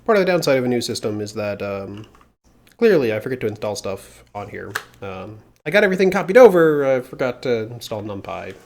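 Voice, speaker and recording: A man with a slightly congested voice talks his way through work on a live stream. He speaks casually at a middle pitch, pausing often with fillers as he thinks aloud into a mounted desk mic. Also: gravelly. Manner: thinking aloud, relaxed, many filler pauses. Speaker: livestreamer doing security research. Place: home desk with mechanical keyboard. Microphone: mounted desk studio mic.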